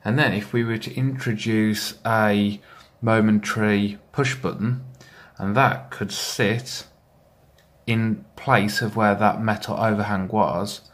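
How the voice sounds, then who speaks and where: A man giving a measured, methodical walkthrough of a hobby build, explaining it step by step. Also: fairly low pitch, steady tone. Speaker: hobbyist builder presenting his project.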